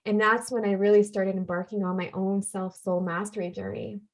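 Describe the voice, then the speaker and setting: A woman's voice: medium pitch, little pitch movement, moderate pace. A woman, a podcast guest earnestly telling a personal story and repeating phrases for emphasis. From Canada.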